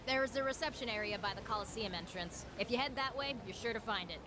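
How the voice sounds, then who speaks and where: A woman's voice: on the high side, fast talker, noisy background, close to an everyday voice. An actress voicing a breezy, streetwise young woman underworld fixer in a video game, talking in a casual, slangy, cajoling way.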